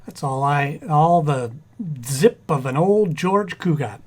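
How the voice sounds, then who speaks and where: Stammering, absent-minded husband with lively pitch swings, acted by a man. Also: slow pace. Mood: mild, agreeable. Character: distracted, mild-mannered husband and businessman. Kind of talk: 1940s radio comedy acting.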